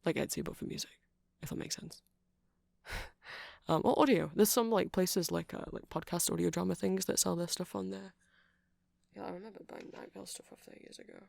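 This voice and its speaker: A performer playing a friendly, nerdy vampire music shop keeper in an ASMR roleplay, rambling softly and apologetically to a customer with hesitant, casual speech. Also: mid-range voice, creaky, heavily acted. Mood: chatty, apologetic. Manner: soft, rambling, hesitant, self-deprecating. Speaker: store clerk.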